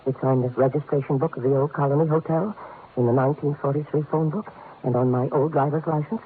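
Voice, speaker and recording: A man's voice: on the high side, steady tone, fast, natural voice. An actor playing a small, nervous middle-aged man in a 1950s radio drama, stammering, apologetic and easily flustered. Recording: muffled, noisy old-time radio recording.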